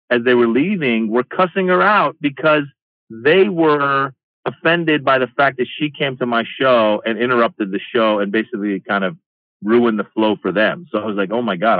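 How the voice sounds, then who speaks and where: Brief: middle-aged man from Iran, comedian guest, animated storyteller, tinny phone-like dry audio. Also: mid-range voice, normal speed, no room sound. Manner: animated, acts out quoted exchanges. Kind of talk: casual interview storytelling.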